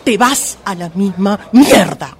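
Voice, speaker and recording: A woman's voice: medium pitch, takes it slowly. A woman with an Argentine accent playing a frustrated, neglected wife in an amateur radio drama. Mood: angry. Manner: insistent, exasperated. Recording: lively crowd noise behind.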